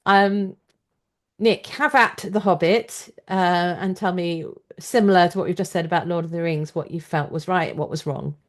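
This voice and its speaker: Woman host with a lowish voice. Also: fairly even intonation, normal speed. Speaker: podcast host, interviewer. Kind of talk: conversational discussion, opinionated commentary.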